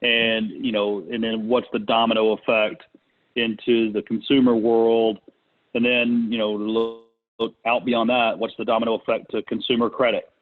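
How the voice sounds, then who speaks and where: A man hosting a business conference call in casual, unscripted talk, speaking hesitantly with thinking pauses. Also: mid-range voice, little pitch movement. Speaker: conference call host, real estate investor. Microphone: conference call connection. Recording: muffled, narrow phone-line sound.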